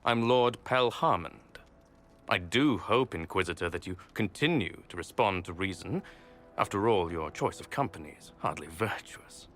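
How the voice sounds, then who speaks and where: An actor playing a smug, scheming nobleman in a video game, coolly polite, condescending and measured. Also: deep voice, lively intonation, fairly bright tone, faint music behind. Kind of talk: video game cutscene acting.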